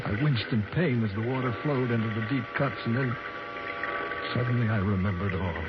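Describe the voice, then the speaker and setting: A man's voice: mid-range voice, moderate pace, heavy background noise, natural voice. An actor playing a shaken, wounded man who narrates his ordeal in urgent, dramatic 1940s radio style. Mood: tense. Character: shaken, wounded grown man, intense storyteller. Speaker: first-person narrator. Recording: dull old radio recording with loud music.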